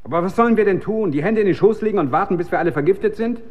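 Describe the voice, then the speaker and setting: A man's voice: high-pitched, very fast. A man in a German radio drama playing a tormented research chemist who is losing his grip on reality, intense and urgent. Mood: agitated, insistent. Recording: dull, band-limited radio recording.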